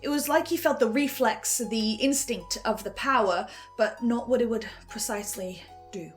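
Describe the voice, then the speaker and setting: A woman hosting a scripted video essay, giving reflective commentary with dramatic pauses. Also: fairly high pitch. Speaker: reviewer and host. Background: music.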